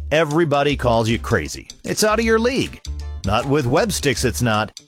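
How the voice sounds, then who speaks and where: Male commercial narrator, mid pitch, hugely varied intonation, persuasive scripted read. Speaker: commercial announcer.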